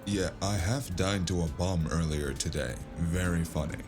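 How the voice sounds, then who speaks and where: A man's voice: sits low, lightly acted. An actor playing a wry, world-weary cyberpunk freelancer who narrates his own noir tale in a sardonic, musing way. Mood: dryly sardonic. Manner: sardonic, musing, drawn-out first-person storytelling. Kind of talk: noir audio drama narration. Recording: music behind the voice.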